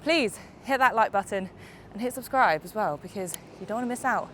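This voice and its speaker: A woman's voice: mid-range voice, animated, fairly quick, a bit bright. Young woman, stuffy-nosed from a cold, cheerful reviewer talking mid-ride, faint traffic outside. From United Kingdom. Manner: chatty, upbeat, explains as she rides. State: congested from a summer cold. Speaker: e-bike reviewer. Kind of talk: casual video review while cycling. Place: outdoors on a bike on roads and hills.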